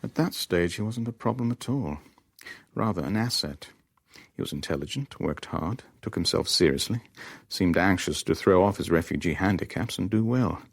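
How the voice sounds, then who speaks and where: Worldly businessman, low pitch, dry and understated, sceptical, close studio sound, radio drama. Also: lively intonation, normal speed, vocal fry, no room sound, heavily acted. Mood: wry. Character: pragmatic, wry male businessman, sceptical and worldly. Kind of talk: radio drama dialogue and first-person narration.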